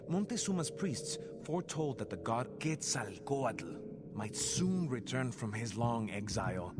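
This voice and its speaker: An actor playing a proud Aztec eagle warrior, narrating a game cutscene in a grave, portentous storytelling manner. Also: male voice, mid-range voice. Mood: proud, resolute. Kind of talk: dramatic scripted cutscene narration. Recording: very loud music behind the voice. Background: loud music.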